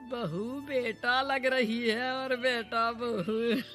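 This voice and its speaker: A jovial, gossipy temple priest, put on by a woman, teasing in drawn-out, chuckling phrases. It is broad cartoon comedy with light music behind. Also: fairly high pitch, fairly even intonation, fairly bright tone. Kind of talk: comic Hindi cartoon dialogue.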